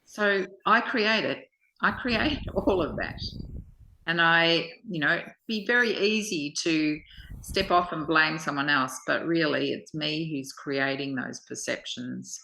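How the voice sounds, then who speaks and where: Low, slow, introspective woman. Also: vocal fry, pushed and bright, a little hiss or hum, low-quality recording. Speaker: study partner. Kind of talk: reflective personal sharing.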